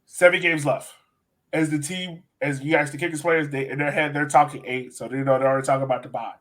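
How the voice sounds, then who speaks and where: A man with a slightly high voice hosts a casual soccer podcast. He talks in an informal, emphatic way, like he is chatting with friends. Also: fairly even intonation, quick pace, a bit bright. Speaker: sports podcast host. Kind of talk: casual chat, soccer analysis.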